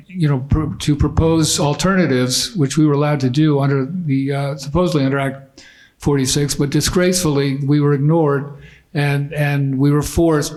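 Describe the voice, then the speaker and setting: A man's voice: a little high, a little mellow, rough recording. A man from Vermont making an earnest, formal public comment at a school board meeting. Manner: earnest, formal, slightly halting.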